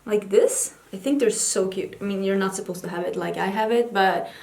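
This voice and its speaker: A woman's voice: medium pitch, fairly quick, creaky, a little mellow, roomy sound. A young Swedish woman with a Swedish accent, chatting casually at her makeup station while she gets ready, giggling and teasing herself. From Sweden. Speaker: beauty vlogger. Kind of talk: casual get-ready-with-me chat. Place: home makeup station, indoors.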